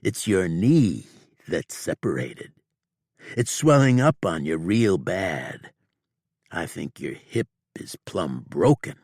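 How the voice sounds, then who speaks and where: A male narrator gives voice to a grinning bounty hunter who speaks with a slow, taunting drawl. The voice is low, unhurried and close-miked in a dry booth. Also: big pitch swings, darkish tone, slightly rough, dry recording, exaggerated voice. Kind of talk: audiobook character voice.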